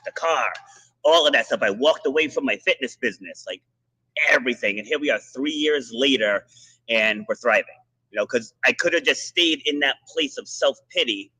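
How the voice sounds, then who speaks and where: A man hosting a podcast, giving a motivational monologue and interviewing a remote guest in bursts of quick, restarting speech. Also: medium pitch, sharp tone, slightly rough, poor audio. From Rhode Island. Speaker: podcast host.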